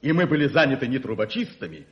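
An actor playing a proud, conservative older colonel in a radio play, blustering and old-fashioned. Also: male voice, mid-range voice, sing-song, quick pace, natural voice. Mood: indignant, disappointed. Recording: muffled 1981 radio recording.

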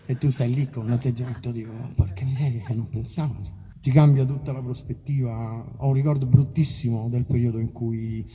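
A man's voice: mid-range voice, steady tone, brisk, few pauses, dark tone, slightly rough. A man chatting casually between songs at a live concert, rambling and self-deprecating, with colloquial language and swearing. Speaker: musician performing live. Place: live outdoor festival concert.

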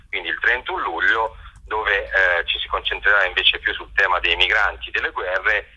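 Low, raspy, forceful man. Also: lo-fi sound. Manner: halting, searching for words with drawn-out words. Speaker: festival organizer and interview guest. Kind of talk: spoken interview, presenting an event.

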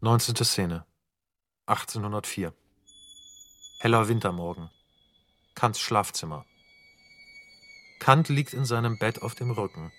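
A man narrating the scene directions of a radio drama in a measured, factual, neutral manner. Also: fairly low pitch, a bit bright. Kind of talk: scripted narration of stage directions.

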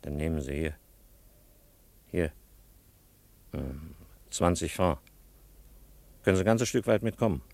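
An actor in a German radio play, playing a brusque, impatient border policeman with a gruff, commanding way of giving orders. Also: low-pitched, expressive, measured pace, long pauses, darkish tone, no room sound, heavily acted. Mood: brisk, instructing.

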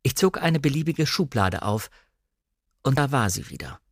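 Male narrator, standard German, medium pitch. Measured, precisely articulated literary storytelling, close and dry studio recording. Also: animated, breathy edge, no echo. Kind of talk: scripted literary narration, audiobook-like radio reading.

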